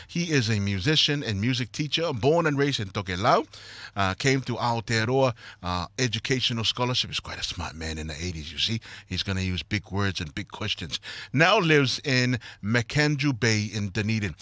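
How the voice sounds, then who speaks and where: A man's voice: mid-range voice, a bit bright, no echo. A man hosting a morning radio interview in an easygoing, chatty way. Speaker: radio morning show host.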